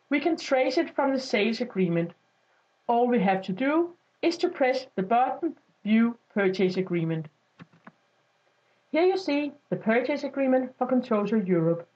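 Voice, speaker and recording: A woman reading a scripted step-by-step software tutorial as a voice-over, in an instructional style. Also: on the high side. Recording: dull lo-fi voice-over.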